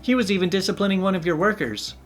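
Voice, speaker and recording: A man voicing an entitled middle-aged woman shopper who yells and accuses in a shrill voice. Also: fast talker, lightly acted. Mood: indignant.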